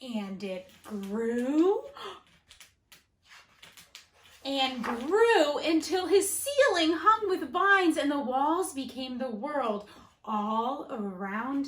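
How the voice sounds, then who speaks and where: A woman teacher giving an expressive picture-book read-aloud for children, dramatic, with gasps, whispers and emphasis. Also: high-pitched, echoey room, slight background noise. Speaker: elementary school teacher.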